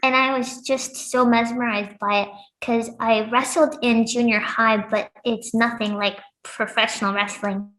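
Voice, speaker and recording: A woman's voice: fairly high pitch, fairly even intonation, measured pace, fairly bright tone, echoey room, poor audio. A young woman from Lebanon, a pro wrestler, chatting casually as an interview guest and laughing often. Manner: giggly, upbeat, laughs often.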